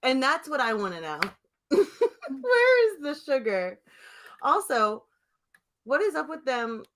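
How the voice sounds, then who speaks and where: A woman's voice: sits high, very animated, takes it slowly, a bit bright, a little hiss or hum. A woman co-hosting a podcast in a casual chat, talking in a rambling, teasing way. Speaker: podcast co-host.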